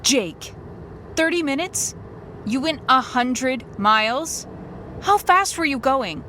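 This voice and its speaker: A woman's voice: high voice, lively intonation, slow pace, pushed and bright, slight background noise, exaggerated voice. Woman acting a blunt American wife in her thirties. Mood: anxious, scolding. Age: 30s. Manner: blunt, casual, teasing. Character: sharp, foul-mouthed thirties city woman, wary yet playful wife. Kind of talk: screenplay table-read acting, naturalistic dialogue.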